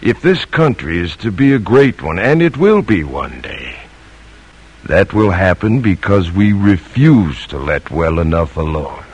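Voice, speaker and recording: Casting: spirited older colonial founder, emphatic husky delivery with big melodic swings, vintage radio play. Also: male voice, low-pitched, big pitch swings, a little slow, a little mellow, exaggerated voice. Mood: firm, fatherly. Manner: emphatic, declamatory. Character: spirited, determined colonial American father and metal founder. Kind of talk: 1950s radio drama acting. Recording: old radio broadcast recording.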